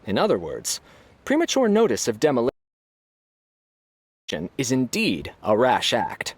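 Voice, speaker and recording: An actor playing a formal, impartial academic arbiter in an anime game, officious and precise. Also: male voice, medium pitch, huge pitch swings, unhurried.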